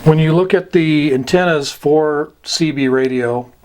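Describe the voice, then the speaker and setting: A man giving an informal explanatory lesson as an instructor, deliberate and halting, with long pauses. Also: fairly high pitch, darkish tone.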